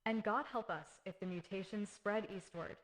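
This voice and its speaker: Bir kadının oynadığı orta tonlu, endişeli yetkili. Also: female voice, mid-range voice, normal speed, natural voice. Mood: worried, blunt. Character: worried, frank female government official.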